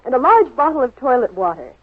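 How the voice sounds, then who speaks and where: A woman's voice: sits high, very animated, fast talker, no echo. An American woman playing out scripted dialogue as a spokeswoman in a 1940s radio commercial. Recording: muffled, dry 1940s radio broadcast.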